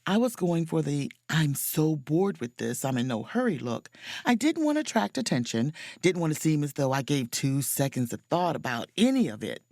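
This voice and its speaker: A woman playing a wry, self-possessed spaceship officer, narrating her own adventure in an expressive, sardonic audio drama style. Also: deep voice, normal speed, no echo, full character voice. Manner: dry, sardonic, expressive storytelling.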